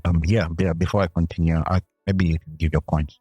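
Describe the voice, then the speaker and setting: Male co-host, very low voice, earnest and preacherly, dry close recording. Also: steady tone, brisk, mellow, breathy edge, no room sound, highs cut off, soft background music. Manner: earnest, preacherly, builds his point. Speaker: podcast co-host. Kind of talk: casual chat on faith.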